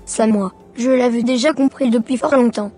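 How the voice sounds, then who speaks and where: High, husky young boy, played by a woman. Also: normal speed, dark tone, faint music behind. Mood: earnest, respectful. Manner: earnest, respectful. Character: polite earnest young son, devout and affectionate.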